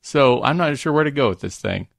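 Male co-host, medium pitch, casual jokey storyteller, close dry sound. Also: animated, fairly quick, gravelly, no room sound. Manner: jokey, prone to chuckling. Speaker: podcast co-host. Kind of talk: casual chat, rambling anecdote.

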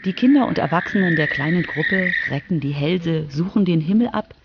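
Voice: mid-range voice, animated, normal speed, highs cut off, very noisy. A narrator telling a scripted radio feature for children. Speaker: narrator, reporter.

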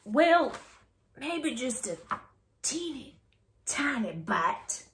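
A woman voicing a dramatic young crocodile who loves watermelon and panics easily, exclaiming in drawn-out words for a children's storybook. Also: high-pitched, sing-song, fairly bright tone, a little hiss or hum, full character voice. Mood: relieved, wavering. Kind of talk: children's storybook read-aloud.

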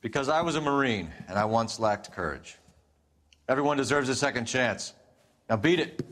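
Man playing a principled detective, animated intonation. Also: mid-range voice, moderate pace, in a large hall, close to an everyday voice. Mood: firm, merciful. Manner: earnest, firm. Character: principled, firm male 1940s police detective.

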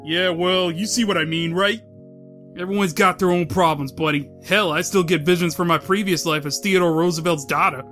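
A man's voice: high-pitched, steady tone, normal speed, pushed and bright, soft background music. A man playing a blunt, incredulous, nosy street guy in a comic-book audio drama, talking colloquially. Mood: weary, confiding. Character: gruff, skeptical, nosy street guys needling a superhero.

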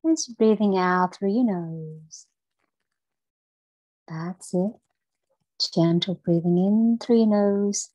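A woman leading a guided breathing meditation for children, speaking in a gentle, soothing and encouraging way. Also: medium pitch, takes it slowly, long pauses, no echo, rough recording.

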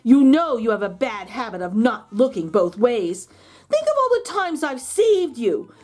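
A woman's voice: a little high, big pitch swings, unhurried, darkish tone. A woman voicing an indignant, self-pitying pet dog in a children's storybook, pleading with dramatic emphasis. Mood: indignant complaining. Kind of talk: children's storybook read-aloud.

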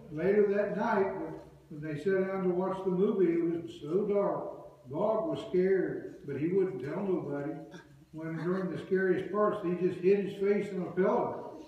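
A man's voice: high-pitched, normal speed. Middle-aged guy storytelling on a church stage, folksy, far off + super echoey, dull sound. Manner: folksy, chuckling. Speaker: costumed storyteller. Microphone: far room mic.

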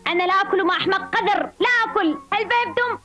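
A woman's voice: extremely high pitch, racing. A woman voicing a spoiled, rude, greedy little insect boy in a cartoon, whiny and demanding. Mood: sulky, scornful. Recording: dull lo-fi old cartoon dub, faint music.

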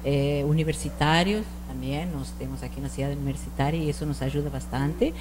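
Argentine shop owner, 40s, lower voice, faint hum behind, halting mixed speech. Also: female voice, fairly even intonation. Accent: Argentine Spanish. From northern Argentina. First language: Spanish. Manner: mixes Spanish words into Portuguese, hesitant restarts. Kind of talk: casual interview answers. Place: TV studio set styled as rustic ranch. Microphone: handheld mic.

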